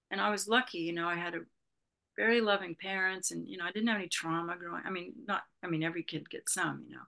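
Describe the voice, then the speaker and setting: A woman's voice: lowish voice, vocal fry, pushed and bright. A woman in her sixties from California, a Buddhist retreat leader talking reflectively as an interview guest, rambling with many "you know"s. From Berkeley, California, USA. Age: 60s. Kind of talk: reflective casual conversation.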